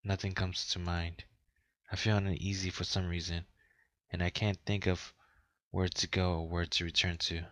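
A man's voice: deep voice, little pitch movement, takes it slowly, a bit bright, no room sound. A man streaming a game, reading its text aloud and adding casual commentary. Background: game music.

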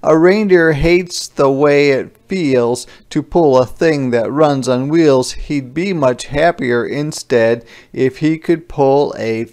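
A man reading a children's storybook aloud expressively and deliberately, with dramatic emphasis and drawn-out line endings. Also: medium pitch, darkish tone, clean tone. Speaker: storybook reader.

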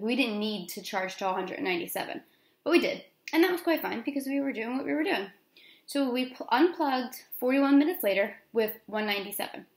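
A middle-aged American woman talking casually to camera in a conversational vlog style, with informal asides, recorded in a room at home. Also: fairly high pitch. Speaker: vlogger. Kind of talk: casual vlog recounting from notes. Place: small room at home, indoors. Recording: echoey room.

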